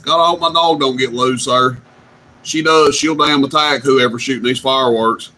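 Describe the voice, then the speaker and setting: A man hosting a CB radio night, chatting casually and telling drawn-out stories. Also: mid-range voice, fairly even intonation, bright, forceful tone, slight background noise. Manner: drawling, easygoing storyteller. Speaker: CB radio host.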